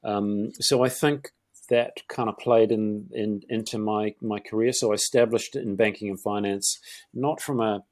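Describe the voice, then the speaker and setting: A middle-aged man from Northeast England with a Geordie accent softened by years in New Zealand, a podcast guest who talks in a rambling way with many fillers and restarts. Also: fairly low pitch, fairly even intonation, normal speed, no echo. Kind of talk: conversational interview.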